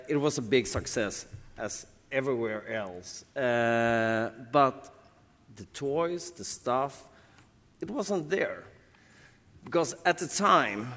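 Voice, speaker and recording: A man from Sweden with a Swedish accent, talking casually on a convention panel, halting and restarting as he searches for words. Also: mid-range voice, fairly bright tone. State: jet-lagged. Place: large convention hall. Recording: reverberant hall.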